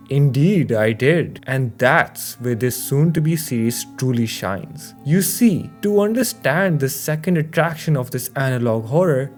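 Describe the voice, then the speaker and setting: A man with a mid-pitched voice narrates a scripted video essay. He speaks straight to the listener in a rhetorical, presenter-like way. Music plays faintly underneath his voice. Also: darkish tone. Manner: rhetorical, addresses the listener directly. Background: background music.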